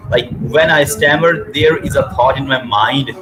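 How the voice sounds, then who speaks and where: A man's voice: medium pitch, a little slow. A man in his thirties hosting over a video call with earphones, speaking hesitantly with many fillers and stutters. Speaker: host. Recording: noisy video call audio.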